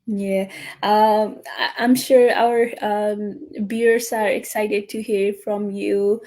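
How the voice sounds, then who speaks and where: Young woman from Nepal, live-stream host, mellow voice, halting with ums. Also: mid-range voice, flat delivery. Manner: hesitant, many fillers, warm welcomes. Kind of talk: live online business interview.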